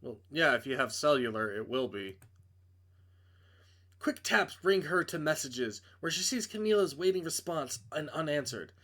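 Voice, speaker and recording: A man's voice: on the high side, expressive, normal speed, fairly bright tone. A man reading a visual novel aloud as a streamer, narrating dramatically and breaking off into casual, joking asides to correct himself.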